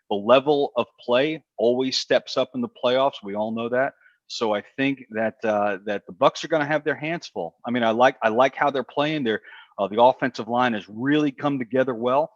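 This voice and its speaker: Halting man with a muffled sound. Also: medium pitch, no room sound. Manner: teasing, hesitant, many restarts. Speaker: podcast guest, stadium announcer. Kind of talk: casual sports talk.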